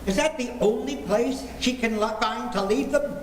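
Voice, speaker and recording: A grumpy, indignant family father acted by a man in vintage radio-play style, gruff in manner and exasperated. The recording has long hall reverb and clear noise. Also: extremely high pitch, moderate pace. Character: grumpy, exasperated, old-fashioned father scolding his family. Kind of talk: 1940s radio comedy acting. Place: live stage performance in a hall. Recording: echoey hall, noticeable background noise.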